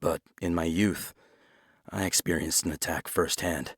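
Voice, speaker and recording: A male actor plays an older gentleman scholar of magic in a gothic visual novel. His voice is deep and dryly recorded. He speaks with formal, measured politeness. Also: steady tone, breathy edge, no room sound, studio quality, exaggerated voice. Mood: courteous, apologetic.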